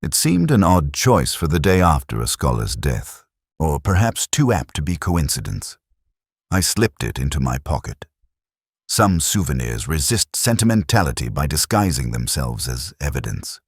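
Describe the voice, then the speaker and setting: A man playing a reflective, melancholy middle-aged detective, narrating his case in measured, solemn literary prose. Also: very deep voice, big pitch swings, slightly rough, dry recording, clean studio sound, full character voice. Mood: brooding, reflective. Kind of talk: literary first-person scripted narration.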